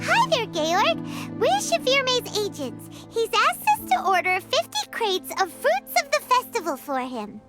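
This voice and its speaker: A woman voicing a small, eager, childlike fairy companion in a video game, with a squeaky, enthusiastic delivery. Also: wildly swooping pitch, measured pace, hardly any pauses, full character voice. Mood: cheerful. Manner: speaks of herself in third person, enthusiastic. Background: music.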